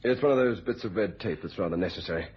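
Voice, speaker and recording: Brisk, husky British police inspector. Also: male voice, low-pitched. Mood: grave, apologetic. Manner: polite, formal, matter-of-fact. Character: courteous, businesslike male police inspector. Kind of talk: radio thriller acting. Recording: dull lo-fi radio sound.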